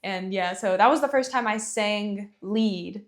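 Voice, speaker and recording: A woman's voice: medium pitch, unhurried. A young woman from Georgia, a singer-songwriter chatting casually as an interview guest, laughing often and filling with "you know". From Athens, Georgia.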